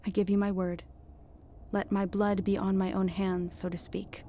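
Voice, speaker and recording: A woman's voice: medium pitch, flat delivery, moderate pace, mellow, a little hiss or hum, lightly acted. An actress playing an articulate, sarcastic and self-assured woman who claims to be a witch, in an audio drama. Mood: solemn, insistent. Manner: articulate, sarcastic, persuasive, mock-patient.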